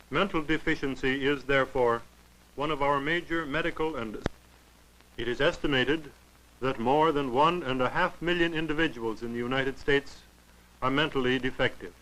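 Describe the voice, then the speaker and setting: A man narrating a 1940s educational film in a formal, clinical, measured way. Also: mid-range voice, sharp tone, rough recording.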